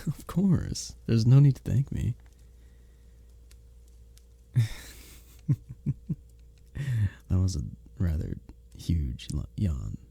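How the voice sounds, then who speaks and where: Man playing a dark-voiced, flirty medieval innkeeper. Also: low-pitched, wildly swooping pitch, takes it slowly, long pauses, no echo, exaggerated voice. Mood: friendly, reassuring. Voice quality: dark, husky, gravelly. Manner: formal old-fashioned diction, teasing, gently courteous. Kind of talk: romantic ASMR roleplay, scripted character acting.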